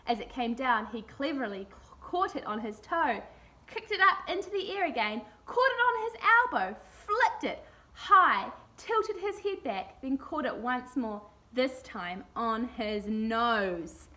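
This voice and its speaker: A woman's voice: fairly high pitch, sing-song, a little slow, sharp tone. A woman reading a children's picture book aloud expressively.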